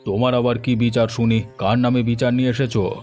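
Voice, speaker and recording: A man's voice: mid-range voice, little pitch movement, brisk, darkish tone, faint music behind, natural voice. A man voicing a generous, authoritative village landowner in a cartoon story. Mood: questioning. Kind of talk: Bengali cartoon story dialogue.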